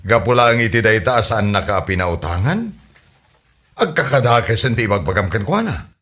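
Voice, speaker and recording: A man's voice: fairly low pitch, lively intonation, normal speed, fairly bright tone. A man with a Filipino accent playing a reasonable husband who scolds and moralizes in a podcast comedy drama. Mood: admonishing.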